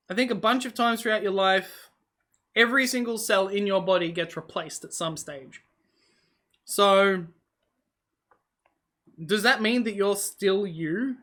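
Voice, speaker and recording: A casual vlogger with Hungarian as a first language, thinking out loud to the camera. The voice is bright and sits in a middling pitch, drifting from thought to thought with frequent "um"s, "like"s and restarts. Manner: meandering, musing aloud with many fillers and self-corrections; talks while eating on camera. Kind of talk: casual rambling vlog.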